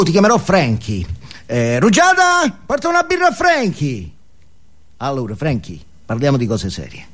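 An actor playing a boastful, crude, foul-mouthed drug dealer in a comic stage monologue, rambling and jumping between confiding and shouting. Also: male voice, medium pitch. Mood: sly, bossy.

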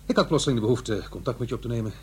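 A man's voice: medium pitch, racing, natural voice. An actor playing a regretful, lonely middle-aged divorced father in a radio play, speaking hesitantly and searching for words. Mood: awkward, tentative. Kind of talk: Dutch radio drama acting. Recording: noisy, humming radio play recording.

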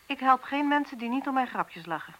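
An actress playing a tired, sharp-tongued policewoman with a dry wit in a naturalistic radio play, speaking in a brisk, teasing way. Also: high-pitched, lively intonation. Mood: wry, teasing. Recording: noisy radio play recording.

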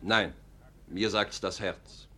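A man's voice: on the low side, fairly even intonation, slow, sharp tone, heavily acted. An actor in a 1940s radio play voicing an anxious, loyal officer who reports to his lord in formal, terse speech. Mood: tense, foreboding.

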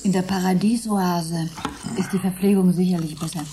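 A woman's voice: fairly low pitch, fairly even intonation, moderate pace, warm tone, a little hiss or hum, close to an everyday voice. An actress playing a dreamy, comfort-loving colonist woman in a science fiction radio play. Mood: wistful.

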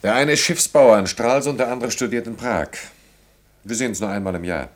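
An actor in a German radio play, playing a brooding engineer who speaks reflectively in halting, short phrases. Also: male voice, mid-range voice, close to an everyday voice. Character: brooding engineer haunted by wartime childhood and lost friends.

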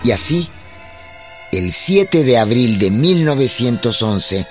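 Slow, solemn male narrator. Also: mid-range voice, sing-song, music in the background. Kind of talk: solemn scripted radio drama narration.

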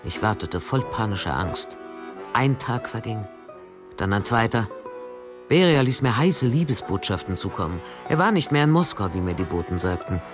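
A woman's voice: rumbling low, animated, normal speed, over music. An actress playing an anxious, guarded female secret agent in a radio drama, acting and narrating in the first person. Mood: anxious recollection.

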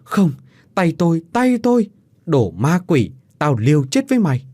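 A man's voice: mid-range voice, sing-song, moderate pace, darkish tone, no room sound, clean studio sound. A man voicing a cruel, scheming older woman who barks harsh orders and sneers. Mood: terrified.

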